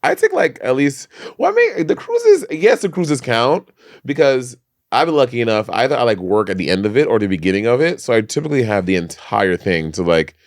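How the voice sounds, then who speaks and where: A man co-hosting a podcast in casual chat, animated and campy, who swears freely. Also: mid-range voice, brisk, dry recording, studio quality. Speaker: podcast co-host.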